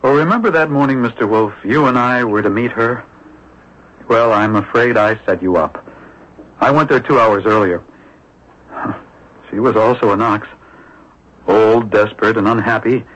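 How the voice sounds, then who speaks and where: An actor playing a composed male swindler under questioning in a radio drama, speaking in a measured, formal way. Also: lowish voice, fairly bright tone. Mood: confessional, rueful. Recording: dull, lo-fi old radio recording.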